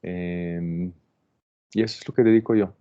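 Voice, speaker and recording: A man with an Israeli accent and a very deep, low voice opens an online plant workshop. He speaks calmly and haltingly, with many fillers and restarts. The sound is dry and a bit muffled, like a computer mic on a video call. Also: clear, resonant tone, no echo. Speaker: landscape designer teaching a plant workshop.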